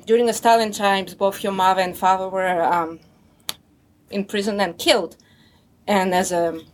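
A woman from Russia with a Russian accent, a native Russian speaker, telling a casual personal story in English, unhurried and fond. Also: medium pitch, slight background noise.

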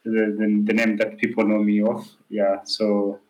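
A man's voice: lowish voice, monotone, moderate pace, darkish tone, dull audio. A man with a Kenyan accent, talking casually as a guest in an interview. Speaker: interview guest, professional cyclist.

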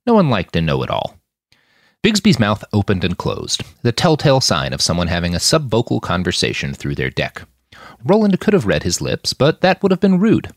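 A man's voice: on the low side, wildly swooping pitch, normal speed, no echo, clean studio sound. A man reading a gritty science fiction story aloud in an audiobook style. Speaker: author reading his own fiction podcast.

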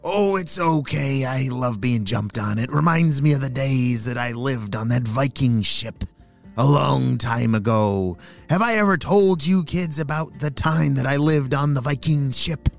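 A man's voice: mid-range voice, lively intonation, a little slow, gravelly, faint music behind. A man voicing a kindly old grandfather fox who tells stories. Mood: fond.

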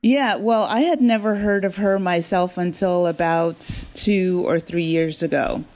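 Lower-pitched American woman in interview. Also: measured pace, darkish tone. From New Jersey, USA. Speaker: guest, audio drama writer and producer. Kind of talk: casual interview conversation.

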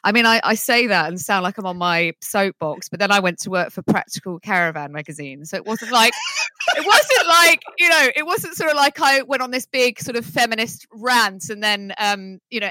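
A woman with a bright, forceful voice recorded close and dry, with no room sound. She tells her story as a guest in a chatty, humorous way. She grew up in the Netherlands speaking both English and Dutch. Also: medium pitch, dry recording, low-quality recording. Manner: chatty and humorous, laughs often. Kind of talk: casual interview conversation.

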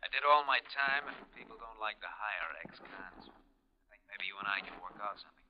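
An actor playing a tough, streetwise, insistent ex-convict in a 1950s radio crime drama. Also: male voice, lowish voice, fairly quick, natural voice. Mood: sly, pressing. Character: tough, wary male ex-convict with a scheme. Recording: muffled, noisy old radio recording.

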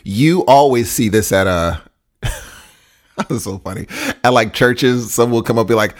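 A man's voice: mid-range voice, measured pace. A man co-hosting a podcast, chatting casually in slangy language, telling joking stories and acting out quotes. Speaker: podcast co-host. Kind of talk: casual chat, storytelling.

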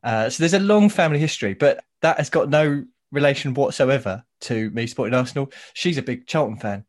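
A man's voice: mid-range voice, animated, a little fast. Easygoing man hosting a podcast. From South East London. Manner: easygoing, wry storytelling. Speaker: podcast host. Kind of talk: casual chat and read introduction.

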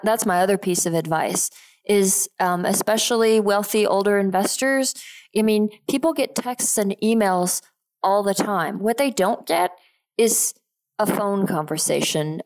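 A woman's voice: mid-range voice, low-quality recording. A woman in her thirties from Switzerland, talking casually as an interview guest. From Geneva, Switzerland. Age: 30s. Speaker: podcast guest, real estate investor.

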